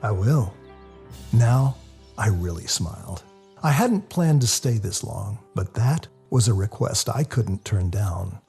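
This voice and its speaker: A man narrates a first-person story in a confessional, expressive way. His voice is dark and mellow with a heavy gravelly fry, and soft music plays underneath. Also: lowish voice, takes it slowly. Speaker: author reading their own novel.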